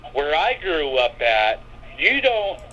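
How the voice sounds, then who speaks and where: A man's voice: on the high side, big pitch swings, slow, sharp tone, lo-fi sound, slight background noise. An American man from Erie, Pennsylvania, chatting heatedly as a caller on a live stream, blunt and insistent, often repeating himself. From Erie, Pennsylvania, United States.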